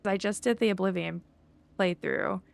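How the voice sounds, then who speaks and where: A woman's voice: medium pitch, moderate pace, gravelly. A woman streaming a game, chatting casually and thinking aloud as she plays. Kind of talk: casual livestream chat while playing.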